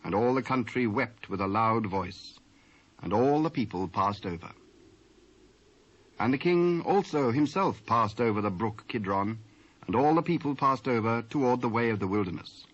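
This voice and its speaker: A British man narrating scripture in a solemn, measured, scripted style. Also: medium pitch, expressive. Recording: dull lo-fi cassette sound.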